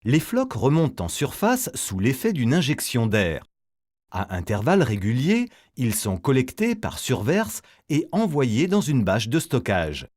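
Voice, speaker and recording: Male explainer narrator, very animated intonation. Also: mid-range voice, measured pace. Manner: didactic, step-by-step explaining. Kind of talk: scripted educational narration.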